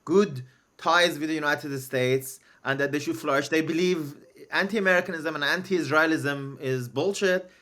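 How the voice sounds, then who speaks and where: A man's voice: a little high, moderate pace, sharp tone. A man from Iran, a native Persian speaker, arguing his side on a discussion panel with emphatic, filler-heavy talk. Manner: emphatic, argues back with lots of "you know".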